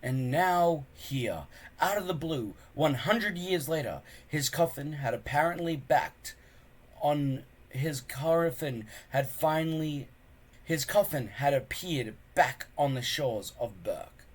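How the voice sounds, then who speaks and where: A man reading a children's book aloud as an expressive narrator. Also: a little high, takes it slowly. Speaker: storybook reader.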